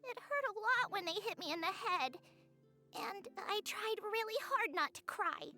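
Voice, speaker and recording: A small, childlike game creature with a very high, lively voice. She speaks politely and earnestly, with an innocent eagerness to help. Music plays behind her. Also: moderate pace, heavily acted. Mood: wistful. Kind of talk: video game voice acting.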